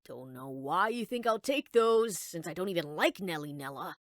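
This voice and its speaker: A woman's voice: fairly low pitch, lively intonation, normal speed, no echo, clean studio sound, lightly acted. A woman voicing a reluctant, defensive, grumbling boy in a cartoon. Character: reluctant defensive boy, grumbling friend with time-travel watch. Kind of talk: cartoon voice acting.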